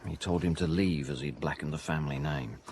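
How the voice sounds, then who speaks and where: An actor playing a sombre, shaken junior police officer reporting to his chief in a radio drama, with a gravelly voice. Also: a bass voice, a little fast, darkish tone, slight background noise.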